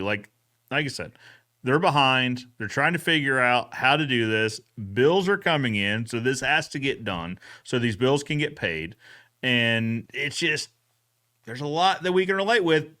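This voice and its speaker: A man's voice: mid-range voice, sharp tone. A man hosting a casual, conversational recap show. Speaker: podcast host.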